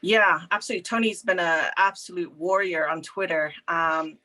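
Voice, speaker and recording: A woman's voice: lowish voice, normal speed, sharp tone. A woman hosting a panel discussion, upbeat and encouraging toward the guests. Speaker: panel host.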